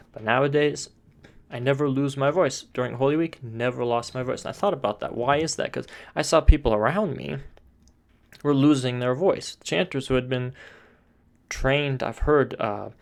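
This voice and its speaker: A man at an ordinary middle pitch gives a spoken chant lesson. He explains patiently and plainly, leaning on "sort of" and brief pauses as he works through each point. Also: creaky. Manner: calm explanatory, frequent "sort of" and "uh" fillers. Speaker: chant teacher.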